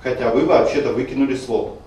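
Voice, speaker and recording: A man's voice: mid-range voice, a little fast, mellow. A man teaching a class, explaining pronunciation rules and sounding words out to demonstrate. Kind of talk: screencast lecture on Russian stress. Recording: very echoey room, distant mic, faint noise.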